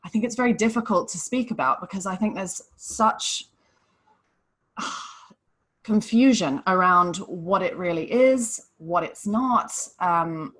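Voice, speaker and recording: Woman from Hong Kong, gentle earnest coach, lowish voice, live panel guest. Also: lively intonation, slow pace. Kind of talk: live online panel talk.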